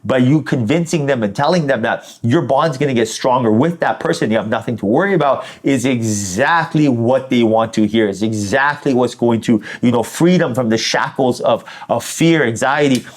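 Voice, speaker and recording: A man's voice: mid-range voice, moderate pace, few pauses. Encouraging, effusive man giving a spiritual reading. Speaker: tarot reader. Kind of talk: informal spiritual reading addressed to the listener.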